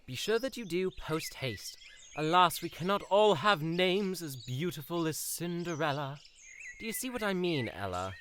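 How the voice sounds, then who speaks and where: A man's voice: fairly high pitch, animated, normal speed, fairly bright tone, slight background noise. A man playing a pompous, lilting fairytale prince. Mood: haughty, self-satisfied. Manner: pompous, flowery old-fashioned formal speech. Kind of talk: audio drama acting.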